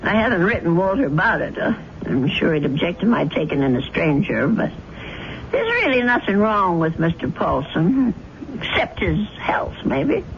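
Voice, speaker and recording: A woman's voice: lowish voice, sing-song, moderate pace. An actress playing a chatty, kindly elderly grandmother who is easily flustered, in a radio drama. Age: older. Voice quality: fluttery, talkative, easily flustered. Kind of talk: 1970s radio drama acting. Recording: dull old radio broadcast with hiss.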